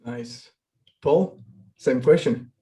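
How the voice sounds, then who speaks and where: A man hosting an online panel, unhurried and friendly, calling on each guest by name over a video call. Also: mid-range voice, mellow. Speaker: host and moderator.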